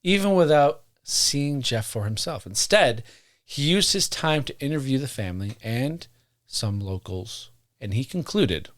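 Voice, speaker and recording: A man's voice: medium pitch, expressive, measured pace, a bit bright. A man co-hosting a podcast, reading a strange story aloud in a jokey, profane way and stopping to correct himself. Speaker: podcast co-host.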